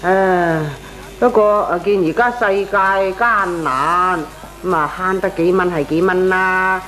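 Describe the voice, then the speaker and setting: A woman's voice: lowish voice, fairly even intonation, slow, fairly bright tone, soft background music, low-quality recording. A woman playing a frugal middle-aged Hong Kong landlady in a Cantonese comic radio drama, colloquial and grumbling.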